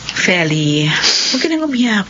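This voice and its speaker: A woman's voice: medium pitch, measured pace. A Filipino woman playing an upbeat, encouraging middle-aged old classmate in a radio drama. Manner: animated, encouraging. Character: upbeat, take-charge former high school classmate visiting home. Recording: dull, lo-fi, faintly noisy radio recording.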